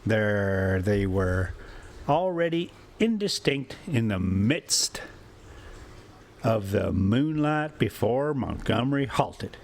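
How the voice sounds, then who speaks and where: A man hosting a story time, reading classic prose aloud in a measured, deliberate way. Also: fairly low pitch, expressive. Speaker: host and story reader. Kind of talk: audiobook-style story narration with casual asides.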